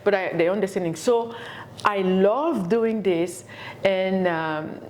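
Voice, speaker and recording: A middle-aged woman from Haiti, an education professor, answering interview questions in a halting way with many fillers and repeated words. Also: medium pitch, a little mellow. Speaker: education professor and community leader.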